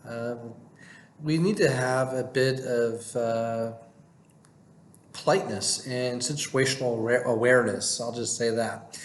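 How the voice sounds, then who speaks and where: A man's voice: mid-range voice, fairly even intonation, a little slow. Echoey-room man giving casual vlog advice. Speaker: vlogger giving work advice. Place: indoor room with plain wall, working from home. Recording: echoey room with faint background noise.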